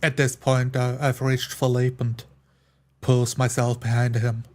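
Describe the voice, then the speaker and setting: A man with a mid-pitched, very even voice plays a character in a tabletop roleplay. His delivery is formal and deliberate, with little melodic movement even when he describes his actions.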